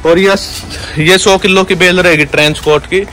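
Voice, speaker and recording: A young man giving a repetitive, pushy sales pitch for wholesale coats in a big indoor warehouse, filmed on a handheld phone. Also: fairly even intonation, moderate pace, pushed and bright, faint music behind, low-quality recording. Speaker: wholesale clothing seller. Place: large indoor clothing warehouse.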